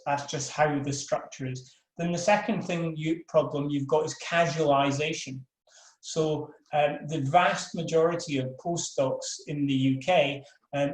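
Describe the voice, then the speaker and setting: A man's voice: a little high, reverberant, poor audio. An older man from Scotland with a Scottish accent, a professor giving a frank webinar talk, full of fillers and restarts. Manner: frank, self-deprecating, many fillers and restarts. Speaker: professor of world politics.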